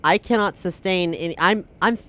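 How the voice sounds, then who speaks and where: A man playing a tabletop game, thinking aloud in halting bursts and swearing now and then. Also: sits high, fairly bright tone. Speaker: player. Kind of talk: casual tabletop play.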